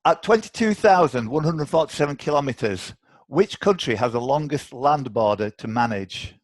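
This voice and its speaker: A man's voice: medium pitch, animated, normal speed, dry recording. A man hosting a live online quiz, chatty and encouraging as he reads questions aloud. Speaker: quiz host. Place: online video call.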